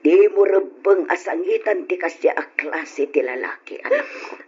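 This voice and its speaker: A woman's voice: lowish voice, expressive, normal speed, a little mellow, slightly rough. Swooping, tender middle-aged Ilocano mother. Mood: consoling, sorrowful. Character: consoling middle-aged mother, tender and protective of her daughter. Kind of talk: old Ilocano radio drama acting. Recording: dull lo-fi old radio recording.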